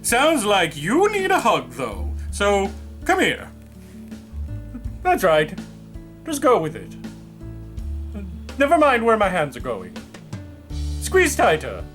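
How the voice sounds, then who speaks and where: A man's voice: high-pitched, wildly swooping pitch, slow pace, long pauses, a bit bright, soft background music, exaggerated voice. Creepy leering hugger, a man puts on. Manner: leering, suggestive. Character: creepy, oversharing male character who pushes hugs.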